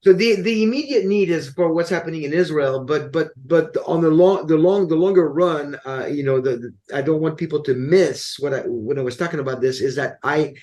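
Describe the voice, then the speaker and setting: A man hosting his show, talking in a halting, casual way with many restarts and repeated words. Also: a little high, darkish tone. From Dallas area. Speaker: show host. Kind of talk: casual conversation.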